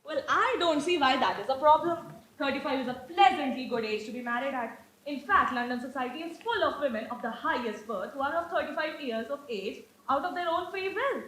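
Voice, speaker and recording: An older Victorian aristocratic aunt, condescending and grandly theatrical. Her high voice swoops widely as she declaims with pompous authority. It is stage comedy, recorded in a roomy, echoing space. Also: lively intonation, fairly quick, a bit bright, slight background noise. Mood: haughty, dismissive. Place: indoor stage in a roomy hall. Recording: echoey amateur stage play recording.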